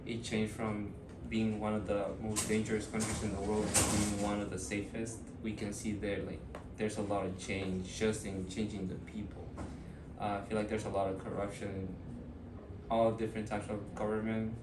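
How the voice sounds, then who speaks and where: A young man in his early twenties from Ecuador, answering interview questions in a thoughtful, hesitant way with many pauses and fillers. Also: lowish voice, flat delivery, echoey room, distant mic, background noise. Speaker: student interviewee.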